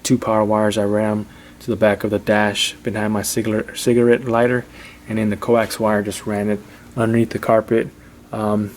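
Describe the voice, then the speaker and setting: A man giving a casual, unscripted how-to in an informal, halting, repetitive way, like a hobbyist vlogger. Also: fairly low pitch, little pitch movement, slight background noise. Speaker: vlogger showing a DIY car install. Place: in and around a Jeep.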